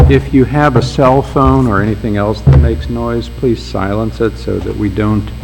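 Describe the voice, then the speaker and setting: A low-voiced man with a gravelly edge presides over an appeals court, laying out procedure and then pressing counsel with measured, pointed questions. His voice rings in a roomy courtroom with a faint hum underneath. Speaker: presiding appellate judge.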